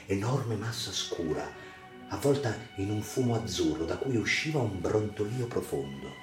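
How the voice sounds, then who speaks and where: A man narrates a radio drama as literary reportage, in a measured, theatrical, solemn delivery with deliberate pauses. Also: low-pitched. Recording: reverberant voice over music. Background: music.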